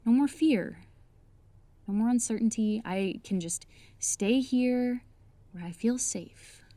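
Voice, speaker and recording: A woman's voice: on the high side, takes it slowly, dark tone, no room sound. A woman acting a homebound young woman in an audio comedy, defensive and jokey, protesting with sarcastic drama.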